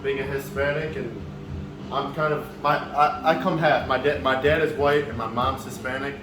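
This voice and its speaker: A man's voice: medium pitch, steady tone, moderate pace. A man from the Chicago suburbs talking as a podcast guest, eager and full of stammering restarts. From Chicago suburbs, United States. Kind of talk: casual conversation. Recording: echoey, distant mic, background noise.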